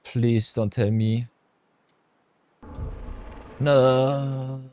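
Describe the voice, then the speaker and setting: Deep-voiced male streamer chatting. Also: steady tone, extremely slow, darkish tone. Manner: chatty, talks to chat viewers, hums and mutters while thinking. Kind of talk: casual live-stream chat over gameplay.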